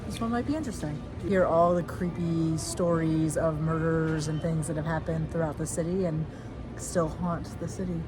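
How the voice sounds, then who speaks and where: A woman's voice: low voice, fairly even intonation, normal speed, music in the background. A woman chatting casually as a travel vlogger. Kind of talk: casual vlog chat.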